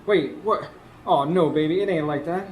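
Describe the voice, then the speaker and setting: A man plays a hard-working, worn-out husband with worry in his delivery. His voice sits a little high, in radio drama style. There is slight noise in the recording. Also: moderate pace, natural voice, low-quality recording. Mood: groggy, flustered. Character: weary, anxious, hard-working husband haunted at night. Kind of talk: radio drama acting with first-person narration. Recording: faint background noise, live stage.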